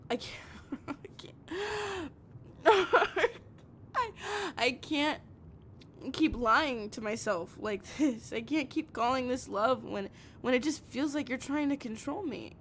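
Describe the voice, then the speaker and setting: Woman acting a high-voiced, heartbroken, obsessive young woman. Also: expressive, a bit bright, full character voice. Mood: tearful, desperate. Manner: halting, stammering, repeating phrases, emotionally raw. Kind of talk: intimate audio roleplay monologue. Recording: noisy recording with low rumble.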